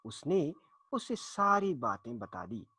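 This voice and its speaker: A man narrating a folktale for children in an expressive, deliberate storytelling manner with dramatic pauses. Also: high-pitched, vocal fry, husky, dry recording.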